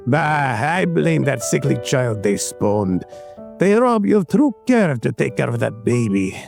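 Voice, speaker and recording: Male actor as gravelly grandfather, mellow tone, proud, menacing, overbearing, audio drama. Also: sing-song, unhurried. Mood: gruff, teasing. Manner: stern, teasing, booming laughs. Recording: faint music behind a dry voice.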